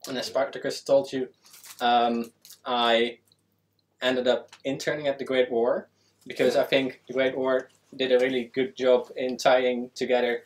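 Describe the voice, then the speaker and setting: Young man from the Netherlands, historian guest, earnest, hesitant fillers, mid pitch. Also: little pitch movement, unhurried, rough recording. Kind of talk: panel answer. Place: small studio with a world map.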